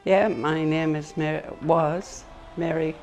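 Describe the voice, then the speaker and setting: A woman, quietly recounting her family background. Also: deep voice, fairly even intonation, slow, soft background music. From Tullamore, Ireland. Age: 70s.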